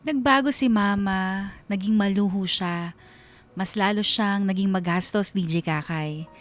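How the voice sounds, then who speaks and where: A woman's voice: medium pitch, measured pace, lightly acted. Actress as married teacher lady telling her sad family grievances, radio drama confession, music under it. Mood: aggrieved, reflective. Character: aggrieved married schoolteacher narrating her family troubles.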